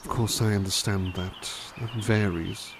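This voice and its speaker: A man playing a gentle, kindly butler who softly reads poems aloud in an ASMR roleplay and muses thoughtfully on each one. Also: lowish voice, steady tone, measured pace, noisy background. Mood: musing. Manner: soft, musing, thoughtful asides between poems. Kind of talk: ASMR roleplay poetry reading.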